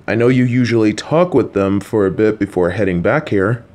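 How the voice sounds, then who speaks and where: A man's voice: lowish voice, normal speed, darkish tone, close to an everyday voice. A man voicing a patient, slightly clueless, supportive middle-aged father who coaxes and reassures his child, with jokey asides. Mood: calm, coaxing. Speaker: voice actor in an audio roleplay. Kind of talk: listener-directed audio roleplay.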